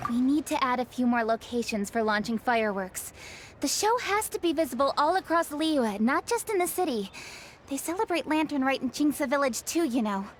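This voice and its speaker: A woman playing a diligent young female official in a video game, earnest and firm, with a crisp, businesslike manner. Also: sits high, expressive, moderate pace, a little hiss or hum.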